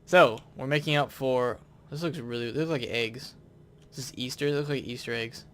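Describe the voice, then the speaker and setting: A man's voice: mid-range voice, moderate pace, a bit bright. A man hosting a gaming video, chatting casually and thinking aloud as he comments on his play.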